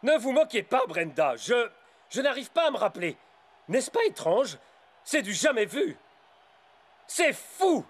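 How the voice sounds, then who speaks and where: A man playing an excitable, theatrical, easily flustered football commentator in a French cartoon dub. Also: very high voice, unhurried, pauses often, full character voice. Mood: baffled. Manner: exclamatory, flustered. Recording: loud music behind commentary.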